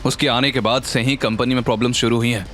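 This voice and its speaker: A man's voice: mid-range voice, little pitch movement, fast talker, fairly bright tone, lightly acted. A man voicing a guilty, defensive male employee in an audio drama, agitated and deferential to his superiors. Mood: forceful, accusing. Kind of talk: dramatised audio series acting. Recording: music bed under the voice.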